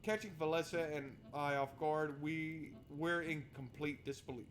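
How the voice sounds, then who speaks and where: A man with a somewhat high voice plays through a story game, reading the narration aloud. He breaks off for sarcastic, exasperated commentary. There is some background noise in the recording. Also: unhurried, fairly bright tone. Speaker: video game streamer. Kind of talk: let's play commentary with read-aloud narration.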